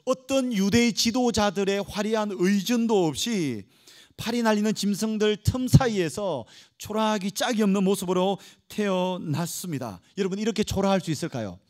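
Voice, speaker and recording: A man's voice: high voice, animated, moderate pace, darkish tone. A man preaching a church sermon as a pastor, emphatic and dramatic, putting rhetorical questions to his congregation. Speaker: pastor preaching to young adults.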